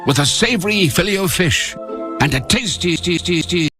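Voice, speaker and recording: Bright, high-pitched man singing a jingle. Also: expressive, a little fast, faint music behind. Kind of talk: sung fast-food advertising jingle.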